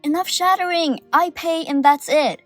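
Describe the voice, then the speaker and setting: Actress plays a sharp, gossipy young woman colleague; high, lively delivery. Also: moderate pace, no room sound, faint music behind. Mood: apologetic. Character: clever, chatty young woman coworker. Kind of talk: audio drama acting.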